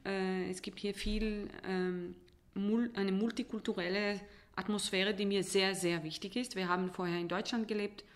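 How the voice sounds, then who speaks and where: A woman's voice: mid-range voice, fairly even intonation. A woman from Israel, speaking as an interview guest, reflective and often searching for words in a language that is not her first. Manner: halting, searching for words in non-native German.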